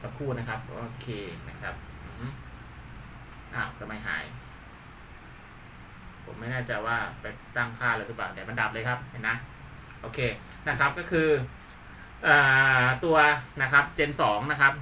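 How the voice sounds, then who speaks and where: A man's voice: medium pitch, normal speed, long pauses. A man from Thailand giving a casual hands-on demonstration as a channel host, talking informally and repeating himself with frequent polite particles. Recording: distant mic with faint background noise.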